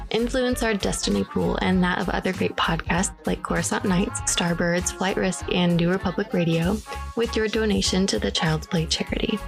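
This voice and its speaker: A woman's voice: lowish voice, vocal fry. A woman hosting a podcast, reading scripted announcements to listeners. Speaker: podcast host. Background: music.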